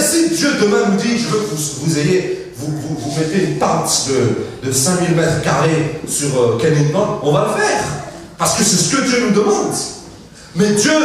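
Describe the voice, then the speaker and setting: Francophone male preacher, high-pitched and emphatic, in a very reverberant hall and heard from a distance. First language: French. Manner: emphatic preaching with call-and-response and frequent hallelujahs; lively pitch swings, drops to quiet asides and jokes. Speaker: pastor. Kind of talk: Pentecostal sermon. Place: large church hall. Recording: very echoey hall, mic at a distance.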